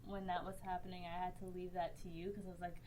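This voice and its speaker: A woman's voice: lowish voice, level tone, fast. A woman hosting a podcast round table, informal and easygoing as she moderates the conversation. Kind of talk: casual chat, moderating questions. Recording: faint room noise behind voice.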